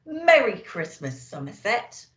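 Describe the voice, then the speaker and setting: A woman's voice: medium pitch, sing-song, takes it slowly, slight background noise, voice pushed up. A woman voicing a jolly, kindly old man, Father Christmas, in a hearty, exclamatory storybook manner. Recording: roomy, echoey indoor sound.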